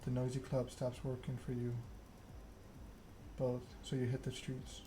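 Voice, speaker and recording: A man narrating a story game aloud in ASMR style, reading in a measured way with occasional stumbles. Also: medium pitch, monotone, background noise.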